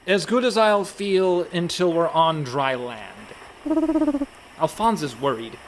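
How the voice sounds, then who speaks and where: High-voiced anxious reluctant con man. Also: sing-song, slow pace, slight background noise, exaggerated voice, poor audio. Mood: gloomy worried. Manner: fretful, dry protests. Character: anxious, reluctant young-sounding con man, male, indignant worrier. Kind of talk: comic radio play acting.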